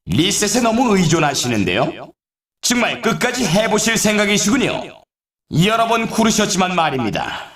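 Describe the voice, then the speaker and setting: An excitable video game snowboard announcer, high-pitched and swinging widely in intonation. He teases with formal politeness and playful sarcasm. The sound is echoey and set back from the mic. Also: moderate pace, far from the mic, crowd noise. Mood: wry, formal. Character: excitable, teasing male snowboard race announcer. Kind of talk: scripted game sports commentary.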